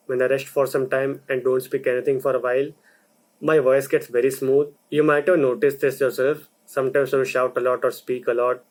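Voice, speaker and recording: A young man from India who runs a video channel, talking through a voice lesson in a slow, deliberate explainer style. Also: mid-range voice, darkish tone, poor audio. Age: young adult. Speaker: video creator. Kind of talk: explainer narration.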